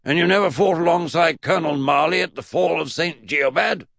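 A man's voice: fairly high pitch, a little fast, vocal fry, a bit bright, dry recording, studio quality, pitched above the performer's own voice. A man voicing an eccentric, blustering old great-uncle in a comic children's audiobook, pompous and emphatic. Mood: stern, indignant. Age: older.

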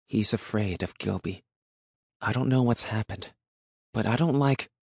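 A man voicing a motherly country wife in an audiobook, speaking in a soft, tender, worried manner. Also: on the low side, a little slow, slightly rough, no room sound, clean studio sound, pitched above the performer's own voice. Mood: concerned. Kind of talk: audiobook character dialogue.

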